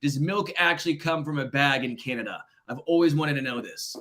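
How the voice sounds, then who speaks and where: A man from the Midwest hosting a sports podcast, teasing and animated in casual chat. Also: brisk. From Kansas City, US Midwest. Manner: teasing, animated, jumps up in pitch when joking. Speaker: podcast host.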